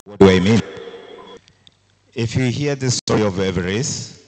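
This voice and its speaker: A man with a very low, deep voice whose first language is Luo. He speaks as a panelist to a live audience. His talk is reflective and story-driven, with pauses and fillers. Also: a little mellow. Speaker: panelist from a social entrepreneurship organization.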